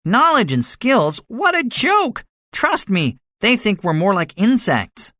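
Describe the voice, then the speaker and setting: A man's voice: fairly high pitch, big pitch swings, moderate pace, fairly bright tone, no room sound, studio quality, pitched above the performer's own voice. A man voicing a cynical, boastful soldier survivor in a dramatized story, speaking emphatically with a swaggering manner. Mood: bitter, scornful. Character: cynical, boastful male soldier, excitable survivor with grand schemes. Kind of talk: dramatized audiobook dialogue.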